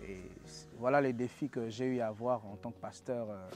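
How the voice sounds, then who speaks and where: A man's voice: mid-range voice, steady tone, moderate pace, loud music behind the voice. A young man from Congo, a pastor, telling his personal testimony in an earnest, preacherly way, with hesitant restarts.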